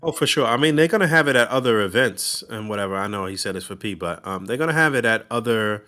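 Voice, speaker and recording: A man's voice: on the low side, animated, fast, bright, forceful tone. A man co-hosting a podcast in casual, profane, laughing banter. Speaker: podcast co-host. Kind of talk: casual chat.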